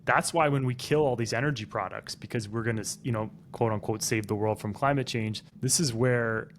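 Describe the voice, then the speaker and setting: A man's voice: mid-range voice, a little fast, slight background noise. A man co-hosting a casual podcast who gives opinions and explains things at length. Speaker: podcast co-host.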